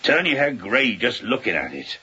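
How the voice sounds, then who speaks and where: An actor playing an older, plain-spoken and rattled sea captain in a radio drama, husky and rough, halting and repeating himself with emphasis. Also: male voice, mid-range voice, very animated, sharp tone, highs cut off, exaggerated voice. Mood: grave, agitated.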